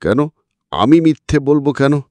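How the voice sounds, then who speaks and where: A man's voice: a little high, expressive, unhurried, darkish tone, no room sound, voice pushed up. A man voicing an indignant, evasive suspect in an audio story, defensive, flustered and emphatic. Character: indignant, evasive male suspect protesting his innocence. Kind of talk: dramatic audiobook character reading.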